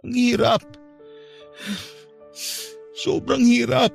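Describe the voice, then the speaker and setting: A man's voice: extremely high pitch, level tone, drawn-out words, creaky, soft background music, heavily acted. A man playing a grieving, guilt-ridden young man in an emotional, despairing radio drama style. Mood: hopeless. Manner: despairing, emotional, self-reproaching. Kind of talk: Filipino radio drama acting.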